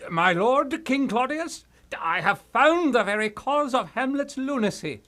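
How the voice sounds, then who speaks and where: A man's voice: extremely high pitch, animated, a little slow, bright, forceful tone, heavily acted. A man playing a fussy, pompous old royal counselor who rambles and dodders in a theatrical Shakespeare puppet show. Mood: self-important.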